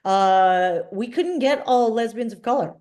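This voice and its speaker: A woman in her sixties, born in Trinidad and raised in Vancouver, speaking as a filmmaker guest in a casual interview, with an animated storytelling manner. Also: on the high side, measured pace. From born in Trinidad, grew up in Vancouver. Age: 60s.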